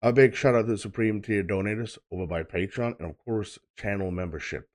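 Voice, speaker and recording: Brief: young Swedish man, low-pitched, relaxed filler-heavy reaction host. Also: a little fast. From Sweden. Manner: chatty, loose, filler-heavy, repeats "of course". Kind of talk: casual reaction commentary.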